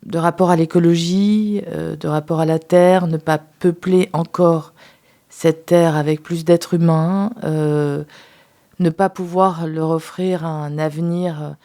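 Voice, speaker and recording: A woman who is a sex therapist, a guest on a radio talk show, speaking in a measured, thoughtful way with some hesitation. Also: low voice, fairly even intonation, dark tone. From France.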